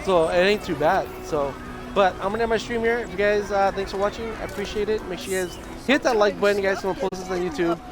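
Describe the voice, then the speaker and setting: A high-pitched man streams a loose, rambling chat, his voice scratchy and nearly gone. He restarts his sentences often as he talks. Game music plays clearly behind him. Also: fast talker. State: hoarse, losing his voice. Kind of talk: casual livestream chat. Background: video game music.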